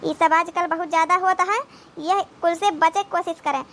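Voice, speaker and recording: A woman's voice: very high-pitched, steady tone, moderate pace, a bit bright, a little hiss or hum. A woman playing a scolding but protective village sister-in-law in an Awadhi comedy cartoon, exclamatory and rustic. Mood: earnest advising. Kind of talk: dramatic comedy cartoon dialogue.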